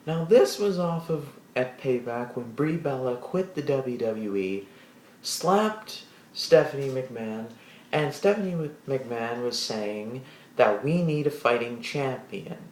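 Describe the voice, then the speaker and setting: Man, deep voice, unhurried rambling review, roomy echo, faint background hiss. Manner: rambling, restarts, animated asides. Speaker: wrestling show reviewer. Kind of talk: casual solo video review.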